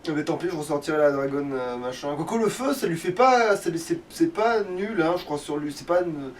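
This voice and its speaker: A man with a fairly high voice talks his way through a video game in loose, sweary everyday speech. He thinks aloud as he goes. The mic sits a little far off, and there is faint noise behind him. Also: animated, brisk. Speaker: let's play gamer playing the game. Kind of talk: casual gaming chat, commentary while playing.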